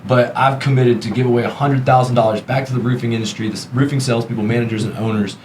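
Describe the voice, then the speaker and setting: A man's voice: mid-range voice, steady tone, fast talker, darkish tone, reverberant, low-quality recording. A man from Texas with an American accent, talking casually as the host of a business podcast. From Texas, United States. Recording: clear background noise, room ambience.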